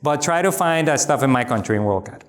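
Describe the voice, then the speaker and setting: A man from the Republic, answering audience questions after a talk in an animated, emphatic way with wry asides. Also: mid-range voice, quick pace. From Dominican Republic. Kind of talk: lecture Q&A, conversational.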